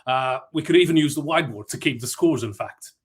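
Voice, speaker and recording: A man from Malta gives a conference talk in a chatty, self-deprecating way. He stumbles through fillers and restarts as he tells a story. His voice sits at a middling pitch. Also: brisk, husky. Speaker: conference speaker.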